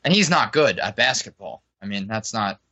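Bright-voiced guy in casual chat. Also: lowish voice, animated, normal speed. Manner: rambling, loose and profane. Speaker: podcast panelist. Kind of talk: casual sports draft chat. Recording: dull lo-fi recording.

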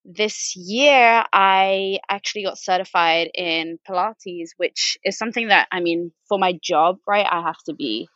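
A woman's voice: on the low side, measured pace, hardly any pauses, fairly bright tone, no echo, muffled recording. A woman in her thirties from Spain, talking in a chatty, rambling way as a podcast guest. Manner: chatty, rambling, with frequent ums and laughs. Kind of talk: conversational interview.

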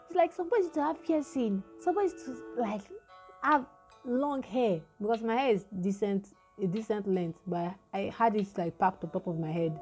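Deep-voiced Nigerian young woman storyteller. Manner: animated storytelling with quoted dialogue. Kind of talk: casual chat.

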